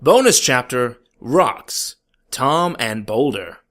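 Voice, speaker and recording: A man's voice: mid-range voice, animated, slow, fairly bright tone. A man giving a dramatic, comedic story reading in a theatrical, sardonic manner with exasperated asides. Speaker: narrator of a story.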